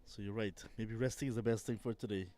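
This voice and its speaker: A man's voice: on the low side, steady tone, fast talker, mellow, no echo. A man doing live cricket commentary, calling the shots and interviewing a player between balls.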